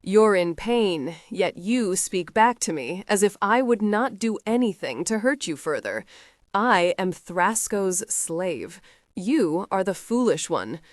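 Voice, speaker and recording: Audiobook: female narrator as a gruff male slave, stern and measured, dry close mic. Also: medium pitch, lively intonation, no echo.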